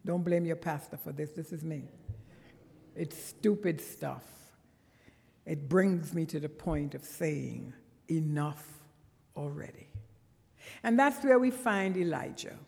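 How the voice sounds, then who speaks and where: A woman's voice: fairly low pitch, measured pace, gravelly, darkish tone. A woman from Trinidad with a Trinidadian accent, preaching a conversational sermon as a guest in a church, with earnest, emphatic bursts. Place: church sanctuary. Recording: long church reverb.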